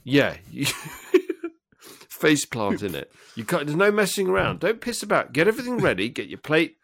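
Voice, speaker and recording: A man's voice: a little high, huge pitch swings, moderate pace, gravelly, a bit bright, no echo. A British man bantering casually as a podcast co-host, jokey and colloquial with playful exaggeration.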